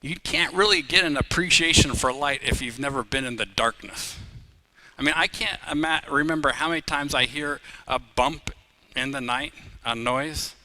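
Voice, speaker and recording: A middle-aged man who grew up in Uganda, preaching a live sermon as pastor, telling personal stories with humor and rising louder on key phrases. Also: a little high, normal speed, slight background noise, low-quality recording.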